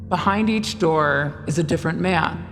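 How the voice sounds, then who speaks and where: Cryptic lady monster, low and croaky, cool and terse, horror audio drama, big reverb. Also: moderate pace, faint music behind. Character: female monster of deviance, cryptic, coolly unhelpful guide. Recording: long cavernous reverb, faint rumbling noise.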